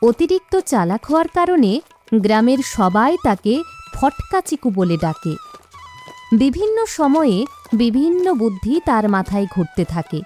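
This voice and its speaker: A woman narrating a children's cartoon tale in an expressive storytelling manner. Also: a little high, normal speed, faint music behind.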